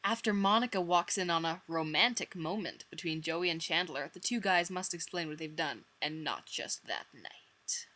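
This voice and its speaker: A woman's voice: lowish voice, moderate pace, hardly any pauses, pushed and bright. A young woman reading a story dramatically, theatrical and self-mocking, with wry asides. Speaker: vlogger reading own old fan fiction.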